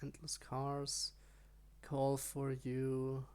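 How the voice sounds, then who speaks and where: A man's voice: mid-range voice, completely flat delivery, very slow, darkish tone, smooth. A man streaming a video game, giving casual commentary and muttered asides. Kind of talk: casual live gaming commentary.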